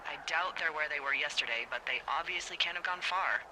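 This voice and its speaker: An actress voicing a wry, teasing American fire lookout supervisor in a video game, with a dry, playful and sarcastic manner. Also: female voice, low voice, brisk, background noise, close to an everyday voice. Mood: dry, practical. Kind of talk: naturalistic video game dialogue. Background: background music.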